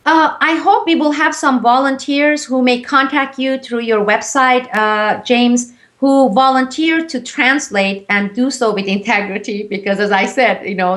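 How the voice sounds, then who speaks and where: A woman's voice: a little high, fairly bright tone. A woman whose first language is Turkish, speaking as an interview guest and explaining in an earnest way with frequent hesitations. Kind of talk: interview conversation.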